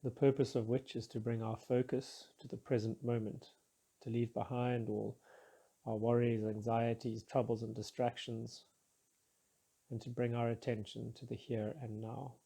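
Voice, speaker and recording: Male meditation guide, mellow and breathy-husky, measured, soothing delivery. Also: medium pitch. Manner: calm, soothing, measured instruction with soft phrase endings.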